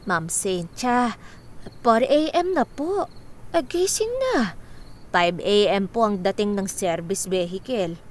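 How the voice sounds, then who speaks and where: An actress playing a deferential, fretful household maid in a Filipino radio drama. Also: female voice, mid-range voice, expressive, slow. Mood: dutiful.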